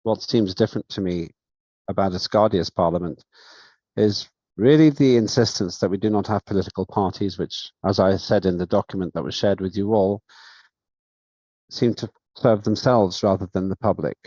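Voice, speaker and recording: A man with a deep, low voice chairs a parliamentary session, speaking in a measured, halting way and pausing often on fillers. His voice comes through a video call microphone. The sound is dull, lo-fi and very dry. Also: dark tone, dry recording. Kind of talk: formal remarks at an online session.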